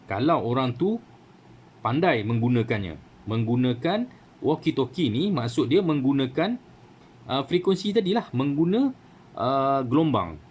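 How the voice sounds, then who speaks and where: A man's voice: medium pitch, big pitch swings, measured pace, a little mellow, husky. A man with a Malaysian Malay accent giving a casual how-to talk as a channel host, full of fillers and asides asking for agreement. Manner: chatty, many fillers and rhetorical "kan?". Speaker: radio hobby channel host.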